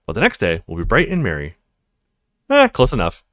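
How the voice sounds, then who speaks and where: Animated man hosting a let's play. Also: on the low side, fairly quick, no room sound, clean studio sound. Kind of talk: casual gameplay commentary and read-aloud.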